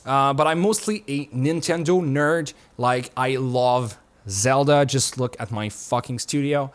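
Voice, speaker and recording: The voice is a young man's, at an everyday pitch, talking to camera in his home studio. He sounds relaxed and loose, wanders from point to point and drops the odd swear word. It plays as an unscripted chat with viewers. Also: unhurried. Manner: relaxed and rambling, swears casually. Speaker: YouTuber.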